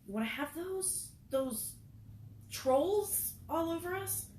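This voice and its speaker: A woman voicing a sharp-tongued teenage girl who scolds the boys and takes charge. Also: mid-range voice, measured pace, far from the mic, voice pushed up, lightly acted. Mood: angry hissing. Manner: scolding, commanding. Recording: roomy echo, faint noise.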